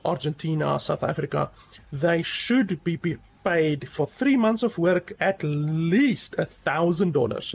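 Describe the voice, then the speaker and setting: Guy reviewing a game, kinda high voice, gravelly and husky, rambles with lots of uh and um. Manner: rambling, emphatic repetition, many fillers. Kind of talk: casual review commentary.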